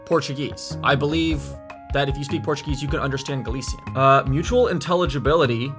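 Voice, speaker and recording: A man chats through a reaction video, thinking aloud and correcting himself mid-sentence. His pitch sits in the middle range, and he is recorded close to the mic. Clear guitar music plays underneath. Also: fast. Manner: thinking aloud, restarts and hedges. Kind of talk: casual reaction commentary.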